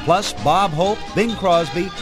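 A male radio host introducing clips with a measured announcer delivery. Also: high voice, over music.

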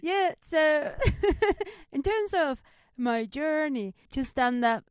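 A woman from Spain with a Spanish accent, chatting casually as a comedian guest, animated and self-deprecating. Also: high voice, takes it slowly, dry recording, studio quality. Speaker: stand-up comedian, interview guest. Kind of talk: casual chat, telling anecdotes.

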